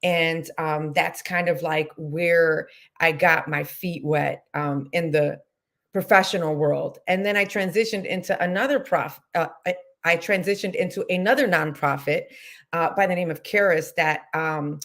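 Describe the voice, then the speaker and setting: A woman's voice: deep voice, fairly even intonation, measured pace, fairly bright tone. A woman educator in her thirties, a guest on an interview, telling her story in a casual, reflective way with many fillers.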